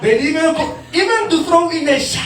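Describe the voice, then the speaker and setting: A man's voice: very high voice, lively intonation, brisk, distant mic. A young man from Namibia telling stand-up stories on stage, often laughing through his own lines and repeating himself. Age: young adult. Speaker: stand-up comedian. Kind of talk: storytelling stand-up comedy. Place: bar stage before a live audience. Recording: echoey bar, heard from afar, loud crowd.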